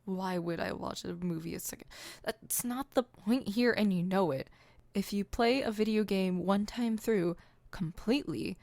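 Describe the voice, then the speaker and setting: A woman's voice: mid-range voice, gravelly, a little mellow, dry recording. A woman playing a theatrical, poetic supervillain in an ASMR roleplay, haughty and dramatic, stammering when flustered.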